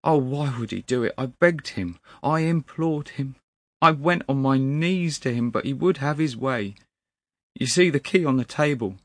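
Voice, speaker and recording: Man performing an anguished loyal servant, deferential, pleading, storybook drama, raised pitch, dry close sound. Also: a little slow, a bit bright, dry recording. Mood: distraught, urgent. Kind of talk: dramatic storybook character acting.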